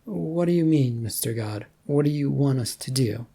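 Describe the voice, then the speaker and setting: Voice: medium pitch, expressive, moderate pace, vocal fry, dark tone, slightly rough. A storyteller voicing a curious, sceptical young woman who speaks in short, direct bursts. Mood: curious, blunt.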